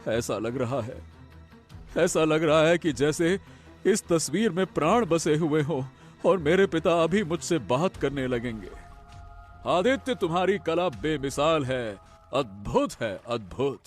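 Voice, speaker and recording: A man playing an earnest young prince in a cartoon story, speaking theatrically with drawn-out pauses and emphatic repetition. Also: on the high side, lively intonation, soft background music. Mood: awed delight. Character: young prince, earnest, brooding, grandly expressive. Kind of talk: Hindi animated moral story dialogue.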